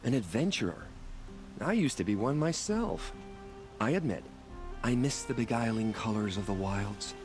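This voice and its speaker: Courtly ex-adventurer turned trader for a fantasy game, male actor: lowish, very expressive, flowery delivery over music. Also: normal speed, natural voice. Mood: courtly, wistful. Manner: florid, theatrical, courtly. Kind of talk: video game dialogue acting.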